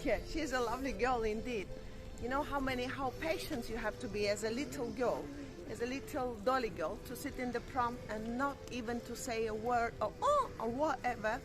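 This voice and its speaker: Lady chatting outdoors, highish voice, music loud behind. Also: normal speed. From Georgia. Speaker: vlog co-host. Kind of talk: casual chat. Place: outdoor harbour at night.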